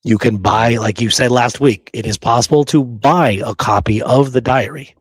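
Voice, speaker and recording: A man's voice: medium pitch, steady tone, moderate pace, slightly rough, dry recording. Emphatic man talking history, close dry sound. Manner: emphatic, often tagging statements with "right?". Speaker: podcast co-host. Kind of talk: casual opinionated history discussion.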